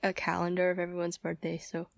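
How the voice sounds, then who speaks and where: A woman's voice: lowish voice, completely flat delivery, quick pace, creaky, no echo, clean studio sound. A teenage girl co-hosting a casual podcast with friends, talking in a chatty way with many fillers and restarts. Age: teens. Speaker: podcast co-host. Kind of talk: casual chat among friends.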